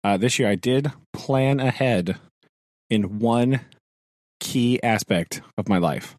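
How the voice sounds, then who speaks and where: A man's voice: mid-range voice, slow, darkish tone, no echo, studio quality. A man, a teacher co-hosting a podcast, chatting casually, laughing often and acting out his own thoughts. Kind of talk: casual chat. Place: home.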